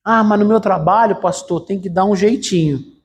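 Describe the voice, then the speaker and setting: A man's voice: high-pitched, normal speed. A pastor gives a sermon in a church. He speaks conversationally, leaning on fillers and rhetorical questions, and keeps turning to the congregation as brothers.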